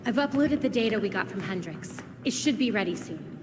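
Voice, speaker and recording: A woman's voice: medium pitch, expressive, brisk, music in the background, natural voice. Attentive female teammate played by an actress. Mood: concerned. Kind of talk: conversational video game acting.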